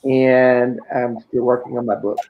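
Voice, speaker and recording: A man with a raspy voice, chatting casually as a guest. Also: mid-range voice, flat delivery, unhurried, muffled recording. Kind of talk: casual chat.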